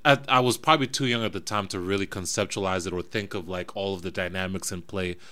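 Podcast host, young man of West African family from New Jersey, slightly low, bright and forceful voice, thoughtful, halting. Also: steady tone, clear, resonant tone. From West African family, raised in New Jersey. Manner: reflective, thinks aloud with restarts. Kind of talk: casual conversation.

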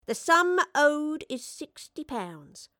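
A woman's voice: sits high, very animated, slow pace, fairly bright tone, no room sound, studio quality, pitched above the performer's own voice, exaggerated voice. A woman voicing a pompous, short-tempered male swindler with affected, haughty aloofness in an audiobook. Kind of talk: audiobook character acting.

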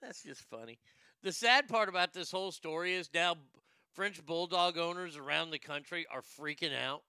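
Sarcastic, swearing man with a bright voice. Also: fairly high pitch, moderate pace, smooth, no echo. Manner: profane, sarcastic, laughing often. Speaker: commentary host. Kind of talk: comedic news commentary.

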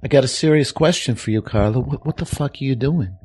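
A man putting on a gruff, tough-talking movie gangster voice that comes out hoarse and profane. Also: medium pitch, fast, very noisy, lightly acted. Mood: menacing. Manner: menacing, profane, street-tough.

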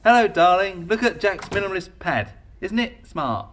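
Teasing, chirpy British best friend, expressive and slightly high, comedy audio drama. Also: male voice, moderate pace, a little hiss or hum. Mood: breezy. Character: teasing, chirpy best mate, mock-encouraging.

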